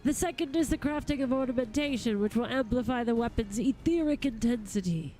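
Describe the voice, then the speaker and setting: A woman's voice: fairly high pitch, fairly quick, warm tone, close to an everyday voice, rough recording. Pompous lordly goldsmith for a fantasy game, played by a woman, flowery, theatrical, music underneath. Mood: grandiose. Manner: formal, pompous, precise. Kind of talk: reading fantasy game dialogue aloud.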